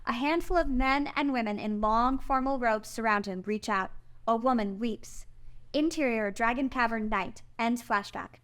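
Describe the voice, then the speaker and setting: A woman's voice: on the high side, normal speed, fairly bright tone. A woman reading stage directions as the narrator of a scripted screenplay table read.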